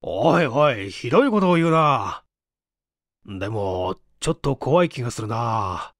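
A man's voice: medium pitch, very animated, a little slow, no room sound, studio quality, voice pushed down. A man voicing an uneasy, self-deprecating, forgetful man, hesitant and musing, in a dramatic audiobook reading. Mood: uneasy, rueful.

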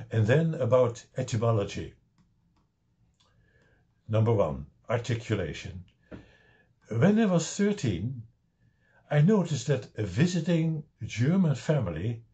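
Older dutch-accented man, slow lecture, mellow voice, dull lo-fi webcam sound. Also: lowish voice. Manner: slow, deliberate, explanatory with wry asides. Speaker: lecturer presenting his own paper. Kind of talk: slideshow lecture.

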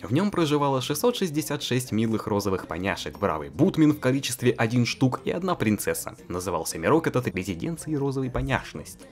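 A man's voice: medium pitch, sing-song, fast talker, hardly any pauses, faint music behind. A man narrating a fan-voiced visual novel in a joking, mocking way, full of crude slang and frequent laughter.

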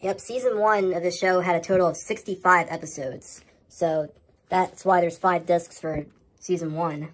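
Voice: mid-range voice, steady tone, normal speed, fairly bright tone, poor audio. An American speaker talking casually to a handheld camera indoors at home, showing items in a vlog update. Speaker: host of a home media collection update. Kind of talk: casual show-and-tell vlog.

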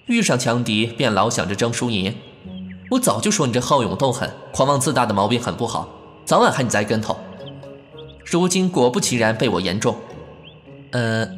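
An actor playing a proud, sharp-tongued but caring young man in an ancient-costume audio drama, huffy and scolding. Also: medium pitch. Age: young adult.

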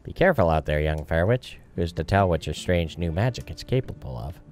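A man voicing a courteous, solemn ghostly captain from a video game, formal and measured. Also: deep voice, lively intonation, a little mellow. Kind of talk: voiced game dialogue. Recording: music behind.

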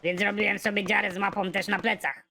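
A performer voicing a naive, hapless cartoon hero who tells his absurd story in a flat, matter-of-fact way. Light noise from a home recording sits under him. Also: mid-range voice, quick pace, vocal fry, close to an everyday voice. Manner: matter-of-fact, deadpan delivery of absurd jokes. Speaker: narrator and protagonist. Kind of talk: animated comedy narration.

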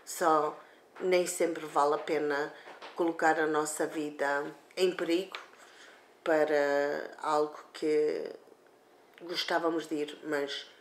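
A woman in her fifties co-hosting a community TV show, talking slowly and haltingly with many fillers at a table in a living room. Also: sits low, steady tone, a little hiss or hum. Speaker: community TV co-host. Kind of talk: casual talk to camera. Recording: echoey room.

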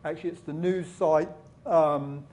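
A man's voice: on the high side, fairly even intonation, measured pace, darkish tone. Man giving a conference keynote. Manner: loose, many fillers, asks the audience questions. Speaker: keynote speaker. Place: conference hall with a live audience.

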